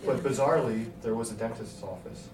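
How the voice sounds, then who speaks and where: A man's voice: mid-range voice, brisk. A man reading a scripted story aloud as its narrator. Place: hotel room. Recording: echoey hotel room, distant mic, noisy.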